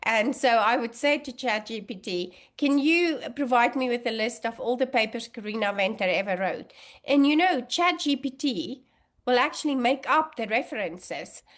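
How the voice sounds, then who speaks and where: A woman's voice: on the high side, animated, moderate pace, a bit bright. A middle-aged woman whose first language is Afrikaans, talking casually as an interview guest with many fillers and restarts. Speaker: guest allergy researcher.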